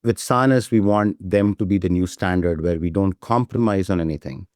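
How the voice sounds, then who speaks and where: A man with a low, mellow voice talks eagerly as a company founder being interviewed. He often ends his points with a quick "right?". The sound is close and dry. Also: no echo. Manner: enthusiastic, repeats "right?" as a tag. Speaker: startup CEO, guest. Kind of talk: casual interview talk.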